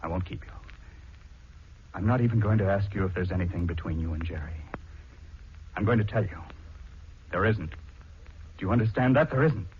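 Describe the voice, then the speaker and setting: An actor playing a blunt, emphatic middle-aged husband in a 1940s radio drama. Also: male voice, sits low, moderate pace, pauses often, a little hiss or hum, close to an everyday voice. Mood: firm, resolute. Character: plain-spoken middle-aged husband, forceful, protective of his wife. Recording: dull 1940s radio recording.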